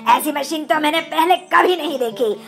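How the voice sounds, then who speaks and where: Rich merchant bird, female performer: bossy, emphatic, piercing and creaky, cartoon dub over light music. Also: high voice, normal speed, a bit bright. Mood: impressed. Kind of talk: children's cartoon story dubbing.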